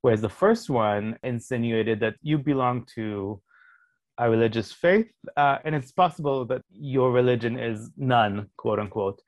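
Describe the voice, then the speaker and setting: A man, a Hebrew speaker, answering interview questions as a sociologist in a measured, explanatory way, thinking aloud as he goes. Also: medium pitch, no echo. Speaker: sociologist guest.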